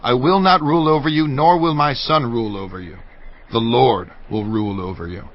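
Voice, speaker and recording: An actor playing a battle-weary, stern war leader in a dramatized scripture reading, speaking in a deliberate, declamatory way. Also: male voice, mid-range voice, lightly acted. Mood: firm. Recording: dull audio with music underneath. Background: music.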